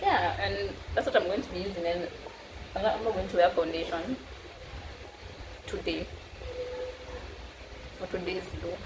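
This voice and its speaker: A woman's voice: on the low side, normal speed, pauses often. A woman in her thirties with a Kenyan accent, chatting casually and informally to camera as a makeup artist. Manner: informal, mixes Swahili into English. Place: indoors against a plain dark wall. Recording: clearly noisy background ambience.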